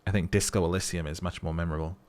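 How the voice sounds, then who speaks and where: Guy doing a let's play, really deep voice, reads the text then chats. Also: flat delivery, very fast, a little mellow. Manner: chatty, musing aloud between narrated passages. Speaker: gaming commentator. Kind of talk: let's play commentary and reading game text.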